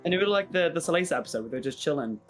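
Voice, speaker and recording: A man chatting casually in a Q&A, hesitant and halting, often repeating his words. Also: on the high side, animated. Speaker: cast member. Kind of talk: casual Q&A chat. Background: background music.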